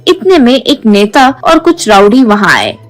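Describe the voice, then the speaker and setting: A woman's voice: fairly high pitch, big pitch swings, measured pace. Woman narrating a storybook tale over music.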